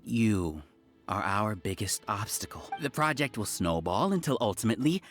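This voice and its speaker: A man's voice: fairly low pitch, expressive, normal speed, fairly bright tone, soft background music. A man voicing a smug, scheming researcher who leads a cult in a video game, grandiose and condescending. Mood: gloating. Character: smug scheming male researcher leading a hive-mind cult. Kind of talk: dramatic video game villain acting.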